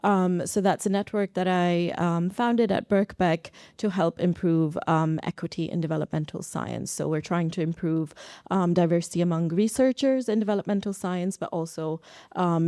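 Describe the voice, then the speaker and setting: A woman researcher from Pakistan speaks informally on a discussion panel. Her voice sits a little low and is very soft-edged and mellow. The sound is dry, with very little room in it. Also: steady tone, normal speed, dry recording. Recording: live event recording.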